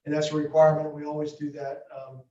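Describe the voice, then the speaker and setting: A man from Ohio representing a construction firm, calmly answering questions at a school board meeting. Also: fairly high pitch, quick pace, a little hiss or hum. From Ohio, USA. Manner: steady, businesslike explaining. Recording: muffled distant audio in an echoey room.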